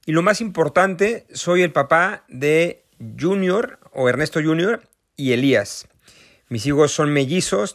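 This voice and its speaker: A man in his thirties with a Mexican accent, speaking in a recorded video message as a parent and association founder, earnest and thoughtful, pausing often to find his words. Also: a little high, dry recording, clean studio sound. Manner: earnest, thoughtful, with frequent hesitations.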